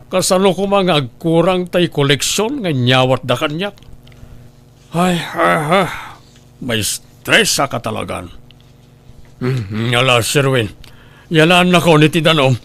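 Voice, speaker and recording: A man's voice: fairly high pitch, lively intonation, slow pace, vocal fry, pauses often, a bit bright, full character voice. A man with an Ilocano accent acting a demanding, stressed boss in a radio-style drama. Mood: exasperated. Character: demanding, stressed male boss fretting over money. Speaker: voice actor.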